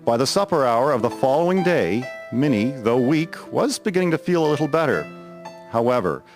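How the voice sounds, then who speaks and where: A man giving a solemn, measured scripted narration of a historical drama. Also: mid-range voice, sing-song, over music.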